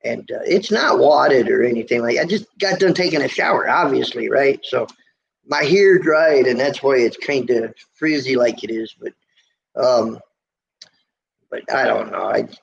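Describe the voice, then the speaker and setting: A middle-aged man from the United States with a squeaky voice, hosting a casual livestream from a motel room, rambling in a folksy way and answering viewer comments. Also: unhurried, vocal fry. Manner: rambling, folksy, with many fillers and restarts. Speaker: trucker livestream host. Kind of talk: casual live chat reading viewer comments. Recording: lo-fi livestream audio, faint noise.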